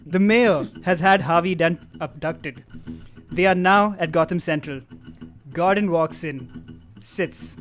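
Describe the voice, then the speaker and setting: Higher-voiced man reading stage directions wryly. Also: slow pace. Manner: deadpan, wry. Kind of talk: script reading with wry asides. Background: live guitar music.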